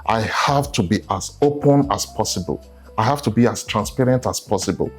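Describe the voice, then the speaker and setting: A man on a discussion panel, explaining his view in a measured way and often checking in with "right?". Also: lowish voice, lively intonation, husky, faint music behind.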